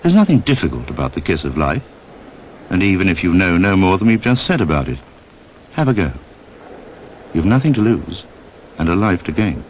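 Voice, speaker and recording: A deep-voiced man delivers scripted 1960s film narration. His intonation moves widely as he addresses the listener directly with pointed instruction. The soundtrack is dull and dated. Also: sing-song, moderate pace, long pauses, a little hiss or hum. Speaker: narrator of a public information film.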